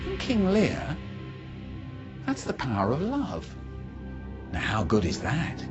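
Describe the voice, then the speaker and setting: A man's voice: fairly high pitch, wildly swooping pitch, takes it slowly, dull audio, over music. A man presenting a books programme, moving between scripted narration and hosting a discussion.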